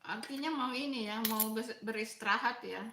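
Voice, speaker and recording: A woman's voice: a little high, normal speed, echoey room, background noise. A middle-aged woman with a Makassar Indonesian accent, chatting casually with live viewers while she eats, asking them questions and answering their comments. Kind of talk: casual live-stream chat while eating.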